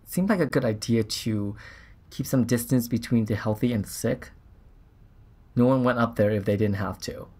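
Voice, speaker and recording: A shaken, halting Mars scientist. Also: male voice, medium pitch, darkish tone, husky. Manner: halting, reflective, measured. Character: shaken, earnest male Mars mission scientist and father. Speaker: actor playing an interviewed Mars crew scientist. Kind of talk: dramatised documentary interview.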